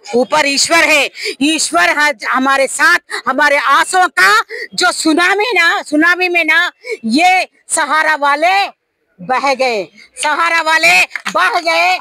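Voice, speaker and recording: A woman giving a fiery rally speech to a crowd as an investor activist, impassioned and shouting at times, her voice breaking with emotion. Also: high-pitched, slow pace, vocal fry, dry recording.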